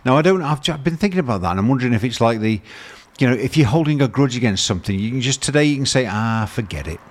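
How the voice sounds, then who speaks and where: A man's voice: medium pitch, animated, racing. Rambling, jokey male radio presenter. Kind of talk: casual radio chat.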